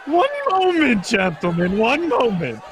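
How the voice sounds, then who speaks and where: Man playing a high-voiced, pompous council chairman. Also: sing-song, a little slow, crowd noise, noisy background, full character voice. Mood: placating, dismissive. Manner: declamatory, pompous. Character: pompous council chairman calling for order, dismissive. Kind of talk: old-time radio drama acting.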